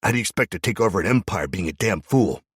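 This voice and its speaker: Bry, a man doing a sneering gruff thug. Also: sits low, expressive, fast, no echo, studio quality, voice pushed up. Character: gruff male gang thug, mocking.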